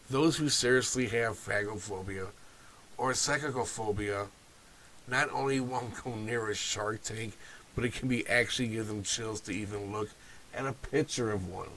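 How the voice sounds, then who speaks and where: A man's voice: fairly low pitch, sharp tone, a little hiss or hum, rough recording. Nasal man getting over a cold. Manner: loose and offhand, with restarts and asides. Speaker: video series host. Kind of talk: informal talk to camera.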